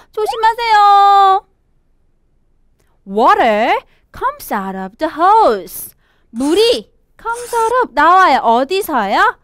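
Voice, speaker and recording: A young woman presenting a children's storybook English lesson, reading aloud and explaining in an expressive, sing-song teacher's manner. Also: extremely high pitch, no echo, studio quality. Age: young adult. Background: background music.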